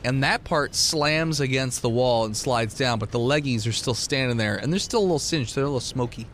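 A man's voice: medium pitch, fairly quick, a bit bright, slight background noise. A man acting as game master, casually improvising combat descriptions and joking with his players. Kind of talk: casual improvised tabletop game narration and banter.

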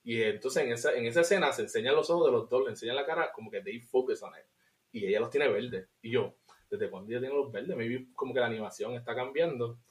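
A man with a Puerto Rican accent co-hosting a podcast, reviewing a film in an animated, casual way and slipping into English. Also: medium pitch, fast. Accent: Puerto Rican Spanish. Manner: animated, laughs often, mixes in English. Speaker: podcast co-host.

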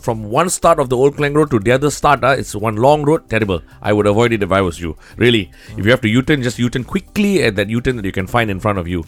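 A man's voice: mid-range voice, no room sound. A man with a Malaysian accent presenting on radio in a casual, conversational, wry way.

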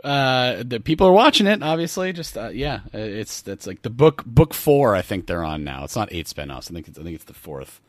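A man in casual comedic podcast chat, joking in a halting, dry way as co-host. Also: fairly low pitch, sing-song, dry recording.

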